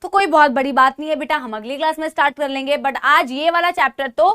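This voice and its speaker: A woman's voice: high voice, quick pace, pushed and bright. A woman teaching a live online biology class with a sore throat, speaking Hindi mixed with English, calling her students beta and checking in with "theek hai?" after nearly every point. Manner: mixes English phrases into Hindi speech.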